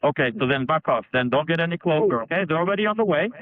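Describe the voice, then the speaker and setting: A man working as an emergency dispatcher, firm and calming, repeating his questions. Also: a little high, racing, no echo. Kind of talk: archival emergency call recording. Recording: tinny phone-line audio.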